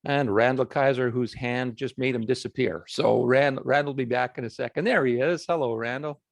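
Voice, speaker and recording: A man's voice: medium pitch, normal speed, creaky, no echo. A man hosting a video call chat, easygoing and friendly, asking open questions. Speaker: host. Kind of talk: casual chat.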